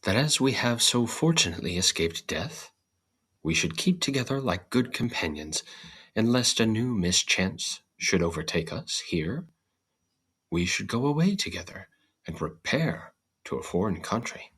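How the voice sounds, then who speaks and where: A man's voice: fairly low pitch, very animated, measured pace, fairly bright tone, slightly rough, voice pushed up, full character voice. A man voicing a small, prudent female bean from a storybook, with formal, old-fashioned phrasing. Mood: earnest.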